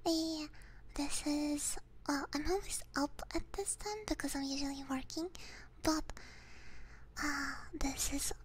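Softly whispering young woman, slow ASMR. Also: high-pitched, fairly even intonation, a little hiss or hum. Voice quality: soft, breathy whisper. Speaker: ASMR streamer. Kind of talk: whispered ASMR livestream chat. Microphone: close binaural ASMR microphone.

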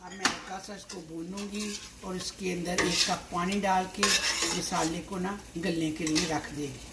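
A woman's voice: lowish voice, fairly even intonation, moderate pace. Older Pakistani woman in noisy kitchen. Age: 60s. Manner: unscripted, repeats and restates her steps. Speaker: home cook, cooking show host. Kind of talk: step-by-step cooking instruction while working. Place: home kitchen at the stove, indoors. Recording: echoey kitchen, loud sizzle and pot clatter. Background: sizzling, stirring, clinking pots and dishes.

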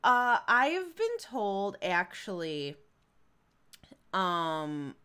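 Slow-talking Midwestern woman streamer. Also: mid-range voice, very animated, bright, forceful tone, clear, resonant tone. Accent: Midwestern American. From Chicago area. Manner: chatty, rambling with many fillers and giggles. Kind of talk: casual live game commentary.

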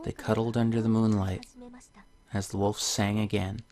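A man's voice: lowish voice, little pitch movement, moderate pace, darkish tone. A man hosting a video game playthrough, commenting on the game and reading its story text aloud. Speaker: let's play host.

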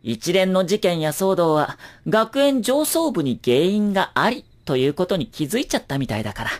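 A woman's voice: on the low side, big pitch swings, normal speed, darkish tone. Sly, lilting teenage boy. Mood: breezily knowing. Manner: breezy, teasing, knowing. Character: sly, playful teenage boy informant with cool confidence. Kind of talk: anime audio drama acting.

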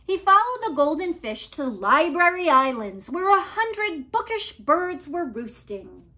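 A woman in her thirties reading a picture book aloud to children in an expressive, deliberate storytelling style. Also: very high voice. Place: living room at home, indoors.

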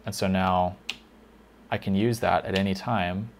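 Man, deep voice, gravelly fry, slightly hoarse, chatty tutorial talk, faint background noise with typing. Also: fairly even intonation, a little slow. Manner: chatty, thinking aloud with fillers. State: slightly hoarse, losing his voice. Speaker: lighting console instructor. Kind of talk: casual screen-recorded tutorial.

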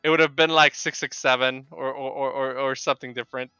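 Casting: Polish-accented man, game streamer, halting chatty delivery, dry but dull lo-fi sound, music behind. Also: mid-range voice, fairly even intonation, pushed and bright, clear, resonant tone, no echo. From Poland. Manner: halting, repeats words. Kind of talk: casual live chat with viewers while gaming. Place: small home room with bookshelves.

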